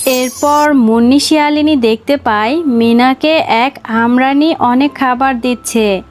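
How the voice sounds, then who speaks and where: A woman narrates a scripted cartoon fairy tale for children. Her voice is high, and music plays clearly behind her. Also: takes it slowly.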